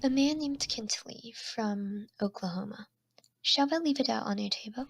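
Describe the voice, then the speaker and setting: Woman doing a shy polite maid in an old-timey audio play, whispery and crackly, fairly high, hesitant. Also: normal speed, gravelly, husky. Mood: deferential. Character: meek, deferential young servant girl. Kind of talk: period audio drama acting.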